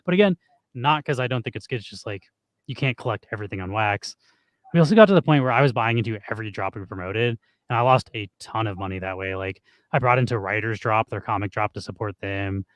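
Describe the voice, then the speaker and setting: A hoarse man co-hosting a stream, chatting casually and rambling with lots of "like". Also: on the low side, fast talker, no room sound. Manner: rambling, heavy use of "like". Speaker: streamer co-host.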